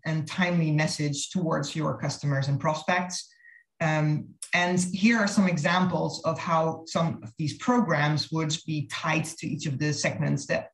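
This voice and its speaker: Low-voiced woman presenting in an echoey room. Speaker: marketing consultant presenting a case study. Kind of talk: slide presentation on a video call. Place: online webinar over video call. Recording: roomy, echoey video-call audio.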